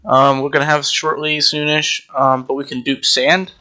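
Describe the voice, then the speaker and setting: A young man talks through a game build in a loose, rambling way, filling pauses with ums. His voice is bright and a little congested. He speaks into a desk mic. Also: fairly high pitch. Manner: rambling, relaxed, many ums. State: slightly congested. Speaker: Minecraft server player. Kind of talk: casual gameplay walkthrough.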